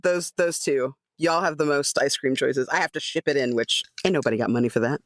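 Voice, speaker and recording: A woman's voice: extremely low pitch, a little fast, dry recording, clean studio sound. A woman who performs on a podcast, chatting casually and playfully about shows. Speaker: podcast cast member, voice performer. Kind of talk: casual chat, plugging own shows.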